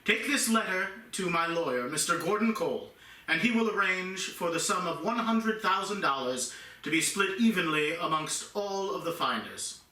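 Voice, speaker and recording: A man's voice: high voice, expressive, moderate pace, sharp tone. A man acting a station owner formally reading out his congratulatory letter in a radio play. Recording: distant, echoey room sound.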